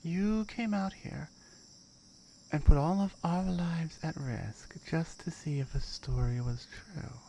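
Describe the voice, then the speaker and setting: A woman voicing a firm, dominant tiger girl guarding her jungle home in a menacing, deliberate ASMR roleplay. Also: low-pitched, mellow, heavily acted. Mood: stern, threatening. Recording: clear background noise with chirping crickets.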